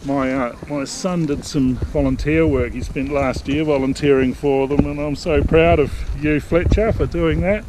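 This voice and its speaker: A man's voice: a little high, noisy background. Chatty middle-aged man vlogging outdoors. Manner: relaxed, chatty, halting with fillers. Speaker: sailing vlog host. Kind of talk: casual vlog talk to camera. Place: outdoors in a paved city square. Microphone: camera held at arm's length.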